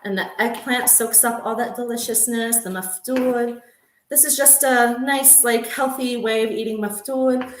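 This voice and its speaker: A woman's voice: a little high, steady tone, a little slow, noisy background. Woman, home cook, chatty, ums, echoey kitchen, faint dish clatter. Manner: chatty, with frequent ums and laughs. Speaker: home cook demonstrating a recipe. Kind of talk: casual cooking demo.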